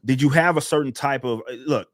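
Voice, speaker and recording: A man hosting a casual interview, rambling, with many halting restarts. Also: medium pitch, dry recording, clean studio sound. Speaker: host.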